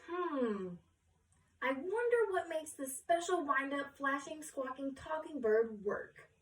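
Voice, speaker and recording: A woman reader plays a curious storybook schoolgirl who mutters to herself, preoccupied with how things work. The voice is fairly high and sounds roomy, set back from the mic. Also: animated, takes it slowly. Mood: pondering. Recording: roomy echo, distant mic.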